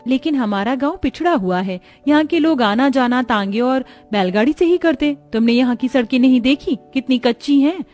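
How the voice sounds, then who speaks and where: A plain-spoken village housewife from a cartoon, acted by a woman. Her voice is high, over a light bed of music. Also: lively intonation, normal speed, hardly any pauses, a little mellow. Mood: explaining, resigned.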